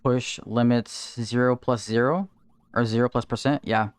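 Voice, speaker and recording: A man's voice: medium pitch, steady tone, moderate pace, smooth, dry recording. Casual male streamer chatting over gameplay. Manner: chatty, answers viewer chat while playing, thinks aloud. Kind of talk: casual livestream gameplay chat. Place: home, in Texas.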